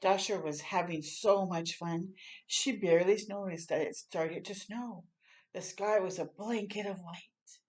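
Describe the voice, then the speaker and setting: Slow-paced woman reading aloud to children. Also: lowish voice. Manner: animated asides and questions to young listeners. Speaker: children's storytime reader. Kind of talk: expressive read-aloud storytelling for children.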